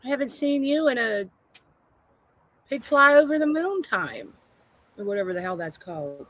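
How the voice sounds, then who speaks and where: A woman co-hosting a casual livestream chat, playful and quick to greet viewers in the chat by name. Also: a little high, lively intonation, a bit bright. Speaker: co-host.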